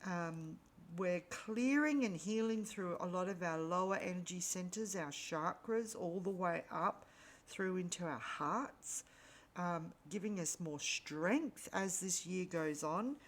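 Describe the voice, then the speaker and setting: Woman, spiritual reader, slightly raspy, a touch low, very slow delivery, gentle with many ums. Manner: unhurried, gentle, frequent ums. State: recovering from lost voice. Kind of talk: monthly spiritual energy reading.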